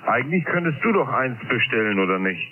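A man's voice: mid-range voice, big pitch swings, fast talker, slightly rough, no room sound. A man talking casually as an amateur radio operator over a shortwave link. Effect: shortwave radio transmission. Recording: narrow phone-like shortwave radio, faint noise.

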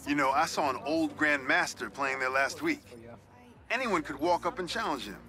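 A man's voice: a little high, animated, moderate pace, pushed and bright, faint music behind. An actor playing a genial middle-aged New York newspaperman with an American accent, telling nostalgic, reflective stories in a video game. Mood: chatty. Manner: storytelling, reflective. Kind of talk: game voice acting, conversational monologue.

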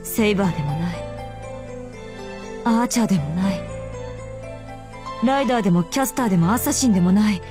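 An actress playing a serious, formal young mage fighter in a visual novel game, with clipped, emphatic phrasing. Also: female voice, medium pitch, expressive, brisk, pauses often, music in the background, lightly acted. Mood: disbelieving, tense.

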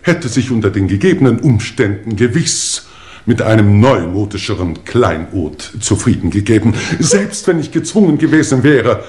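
A man's voice: lowish voice, a little mellow, lightly acted. Man playing a pompous, swooping English lord. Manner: formal, pompous, theatrical. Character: indebted English lord, pompous, self-justifying schemer. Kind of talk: 1950s German radio crime play acting. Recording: old radio play with music behind.

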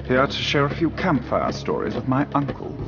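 An actor playing a smug, haughty corporate heir with a formal, condescending manner. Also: male voice, medium pitch, big pitch swings, moderate pace, creaky. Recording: dull film audio under music.

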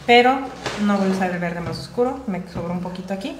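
Woman, instructor, calm, step-by-step, roomy, noisy stream. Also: mid-range voice, fast. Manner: calm, explains steps while working with her hands. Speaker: gelatin art instructor. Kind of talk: live cooking class demonstration. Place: large tiled professional kitchen. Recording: echoey kitchen, noisy livestream audio.

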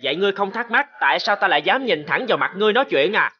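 A man's voice: sits high, animated, rapid-fire, highs cut off. A man voicing a small, plucky young boy in a fairy-tale cartoon, earnest and defiant in manner. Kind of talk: animated fairy-tale acting.

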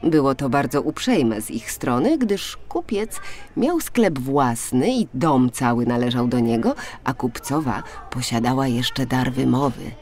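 A woman narrating a fairy tale for children in an expressive, deliberate storytelling manner, as in an audiobook. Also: extremely low pitch.

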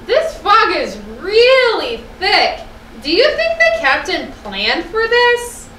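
A worried young puppet sailor, played by a woman, grumbles slowly and with exaggeration. The pitch is extremely high and swings widely. It is a children's puppet show picked up in an echoey room. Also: huge pitch swings, bright, forceful tone, heavily acted. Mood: puzzled. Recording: roomy echo, slightly distant mic, faint noise.

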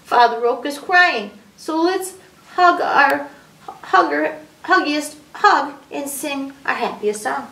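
A woman voicing an excitable, curious young male animal child in a storybook, eager and full of questions. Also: high voice, takes it slowly, gravelly, pitched above the performer's own voice, heavily acted. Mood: eager, excited. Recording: roomy echo, distant microphone.

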